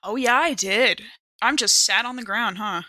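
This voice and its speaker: Bright-voiced woman playing a tabletop game. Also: a little high, normal speed, dry recording. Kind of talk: casual game table chat, thinking aloud.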